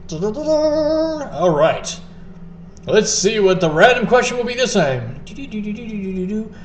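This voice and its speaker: A hammy game show host, as a man puts him on, announcing categories in a raised, sing-song patter and humming jingles between lines. The voice sits higher than his usual talk. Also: sing-song, normal speed, roomy sound, slight background noise. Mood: showy.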